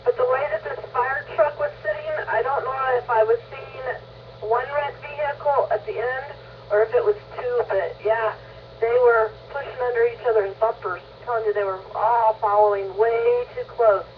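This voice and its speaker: A woman's voice: fairly high pitch, steady tone, normal speed, a little hiss or hum. Woman on tinny, distant ham radio. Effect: two-way radio. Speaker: amateur radio operator. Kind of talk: casual radio chat.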